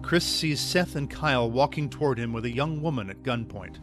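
A man's voice: medium pitch, animated, normal speed, lightly acted. Man as serious audio drama narrator, music behind. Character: action story narrator, measured and serious.

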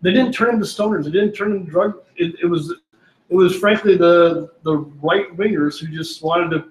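Man in audience, quick emphatic talk, raised pitch. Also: animated. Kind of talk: panel discussion. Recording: echoey room.

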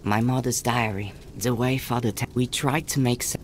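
An actor playing a dutiful, gentle village boy in a video game, speaking in an earnest storybook manner. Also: mid-range voice, steady tone, normal speed, a little hiss or hum, natural voice. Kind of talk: scripted video game narration and dialogue.

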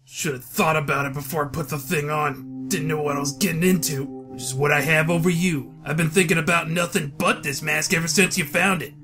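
An obsessed, ambitious brooder, acted by a man for a comic dub, talks intently in a high voice. Music plays faintly behind him. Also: steady tone, fairly quick, few pauses, lightly acted. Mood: brooding, intense. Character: obsessed, ambitious male friend brooding over a mask.

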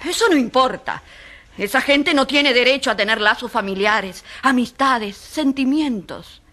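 A woman's voice: high-pitched, expressive, unhurried, a bit bright. An actress in a radio drama playing a passionate, indignant woman who defends the poor, speaking emphatically in repeated, building lists. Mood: bitter, indignant.

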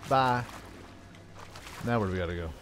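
A man's voice: lowish voice, normal speed, noisy background. A man hosting a gaming video, thinking aloud in casual, playful commentary with frequent exclamations. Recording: game music under the voice. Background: game music.

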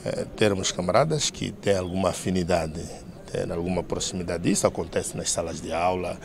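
Gravelly middle-aged Mozambican man. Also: sits low, brisk, noisy background. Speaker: party member being interviewed. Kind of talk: press interview. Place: outdoors in a paved courtyard.

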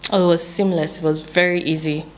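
A young woman in her twenties with a Nigerian accent, a guest telling her story in an indoor interview, animated and acting out what people said. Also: on the low side, brisk. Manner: animated storyteller, acts out quoted speech. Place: indoor room. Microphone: studio mic on stand.

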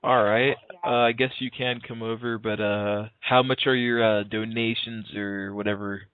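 A man's voice: on the low side, fairly even intonation, normal speed, clean tone, dry recording. Hesitant, slangy young man on the phone. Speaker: prank caller. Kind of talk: casual phone prank, feigned sincerity. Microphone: telephone call. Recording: narrow, tinny phone line.

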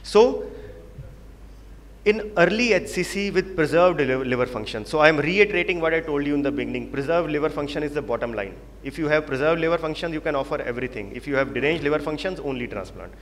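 A man's voice: fairly high pitch, expressive, fast. A man with an Indian English accent presenting and moderating a medical panel, explaining with emphatic repetition. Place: large conference hall. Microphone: handheld microphone. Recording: reverberant hall, faint background noise.

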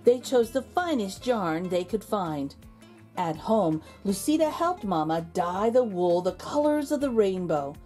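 Mellow-voiced woman reading a storybook. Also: mid-range voice, faint music behind. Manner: expressive, deliberate storytelling. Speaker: children's read-aloud host. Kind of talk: storybook narration.

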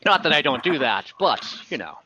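A man's voice: fairly high pitch, sing-song, a little fast, background noise. A man playing a generous, convivial dwarf who likes company with his whiskey, in a hearty, easygoing manner in a tabletop game. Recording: dull lo-fi call audio.

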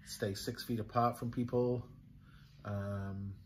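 A man's voice: on the low side, flat delivery, slow pace, slight background noise. A man in his forties with a Welsh accent, co-hosting a casual shop vlog with dry, teasing asides. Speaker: co-owner of a yarn shop, co-host. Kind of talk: casual chat. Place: yarn shop, indoors.

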